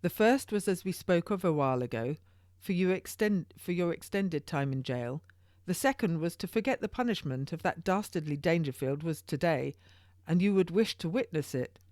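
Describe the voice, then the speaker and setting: A woman's voice: lowish voice, lively intonation, moderate pace, darkish tone, no room sound, clean studio sound, close to an everyday voice. A woman voicing a theatrical, pompous king of England who speaks in grand, exclamatory, archaic courtly phrases in an audiobook. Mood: grandly effusive.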